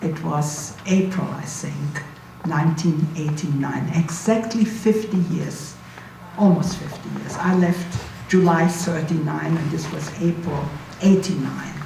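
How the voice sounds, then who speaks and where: Elderly German-accented woman, low voice, echoey room. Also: unhurried, vocal fry, warm tone. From Berlin, Germany. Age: 80s. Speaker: Holocaust survivor giving a talk. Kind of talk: informal spoken recollection to an audience. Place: indoor university talk room, recorded from a distance. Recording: echoey room, distant mic, faint noise.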